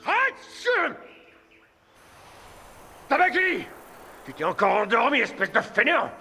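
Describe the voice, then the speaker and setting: A man's voice: extremely high pitch, huge pitch swings, slow, creaky, heavily acted. A man voicing an imperious, lazy, ill-tempered tiger king in a cartoon dub. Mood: bossy, irritated. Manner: imperious, shouting orders. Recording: music behind the voice.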